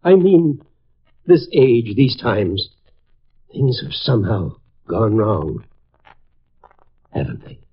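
An actor playing a distraught, fretful older college dean with a husky voice in a 1950s radio drama. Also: male voice, fairly low pitch, very animated, takes it slowly, long pauses, a little hiss or hum, heavily acted. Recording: muffled vintage radio recording.

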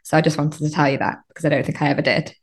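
Low-voiced enthusiastic woman host. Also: flat delivery, rapid-fire. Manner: enthusiastic and affirming. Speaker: podcast host. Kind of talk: casual podcast conversation.

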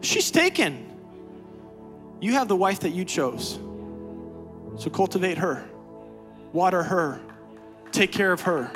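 A man preaching a sermon as pastor, swinging from quiet, low talk to loud, high-pitched exhortation. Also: big pitch swings, takes it slowly, pauses often, music in the background. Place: church sanctuary.

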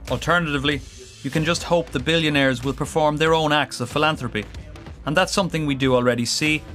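A man's voice: fairly high pitch, fast, a bit bright. Male report narrator over music. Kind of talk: scripted documentary narration. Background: background music.

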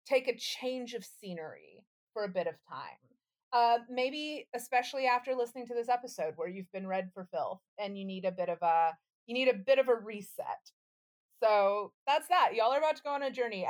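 A woman co-hosting a podcast with a playful, theatrical way of talking, whether chatting or reciting. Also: medium pitch. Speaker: podcast co-host. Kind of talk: casual chat, dramatic poem reading.

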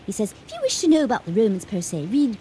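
Shy bookish lovestruck girl in a radio play, mellow voice, earnest, slight background noise. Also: medium pitch, huge pitch swings. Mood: earnest, hopeful. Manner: flustered, earnest, halting. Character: shy, bookish, romantically smitten young woman. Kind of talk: radio drama acting. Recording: noisy 1980 radio drama recording.

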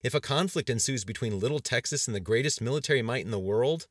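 A man voicing a panicked, scornful male politician in an audiobook, shouting his objections with incredulous mockery. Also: mid-range voice, lively intonation, fast talker, no room sound, clean studio sound, voice pushed up, lightly acted. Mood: mocking. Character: panicked, scornful male cabinet member arguing in dread. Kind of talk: dramatized audiobook dialogue.

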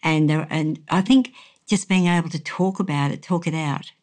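A woman hosting a podcast in a measured, conversational way. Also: low voice, darkish tone. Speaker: podcast host. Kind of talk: measured podcast presenting and chat.

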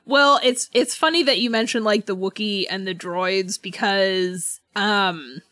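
A woman co-hosting a podcast in casual book club chat, giggly and rambling in an animated fan's manner. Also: mid-range voice, measured pace, no room sound. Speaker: podcast co-host.

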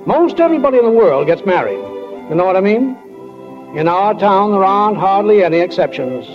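A man's voice: high-pitched, sing-song, fairly quick, music in the background. A man playing a folksy, wryly philosophical small-town narrator in 1940s radio style, speaking plainly and straight to the listener. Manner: homespun, plain-spoken, addresses listeners directly. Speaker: narrator of a play. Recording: muffled old 1940s radio recording.

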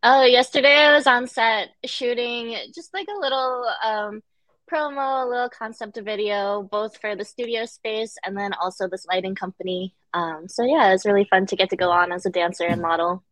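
A young woman from Connecticut, a guest in a casual interview, talking relaxed with many fillers and easy laughs. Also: mid-range voice, normal speed, dry recording, rough recording. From United States, Connecticut. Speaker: guest, director and dancer.

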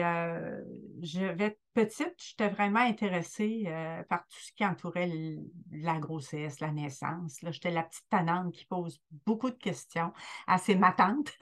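An older woman with a Quebec French accent, a guest midwife telling her story in an interview with many hesitant fillers. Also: fairly low pitch. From Quebec, Lower St. Lawrence.

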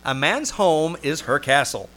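A man's voice: on the high side, animated, a little slow, a bit bright. A man playing a showy, playful announcer and storyteller in a 1940s radio show. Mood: showy, excited. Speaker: announcer and narrator. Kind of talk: old-time radio announcing.

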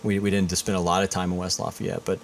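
Middle-aged male guest from Indiana, very deep and flat voice, rambling and repetitive, faint hiss. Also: quick pace. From Indiana, USA. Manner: rambling, repeats words while thinking. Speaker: guest, high school principal. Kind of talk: casual interview.

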